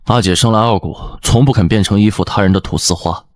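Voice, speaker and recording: A man voicing a devoted, brooding young king in a period drama, intense and emotional, with a husky tone. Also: fairly low pitch, fast, natural voice. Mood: anguished, brooding.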